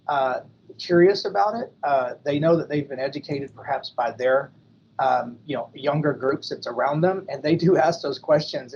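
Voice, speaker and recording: A man on a video-call panel comes through a little dull, with a faint hiss of noise behind him. He talks in halting bursts, apologizing and restarting phrases before landing his point. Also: mid-range voice, darkish tone. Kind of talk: virtual panel discussion.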